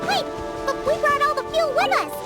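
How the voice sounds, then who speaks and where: A woman voicing a small, childlike fairy companion in a video game, nervous but chirpy, with loud music and a roomy echo behind her. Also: extremely high pitch, brisk. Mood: puzzled, indignant.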